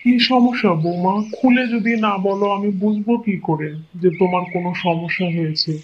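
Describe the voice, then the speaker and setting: A man's voice: sits high, a little fast, lo-fi sound, slight background noise, close to an everyday voice. A man voicing a kindly, resigned old village father-in-law in a cartoon story, with a coaxing, homely way of talking. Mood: patient, reassuring. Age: older. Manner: coaxing, homely, repeats himself.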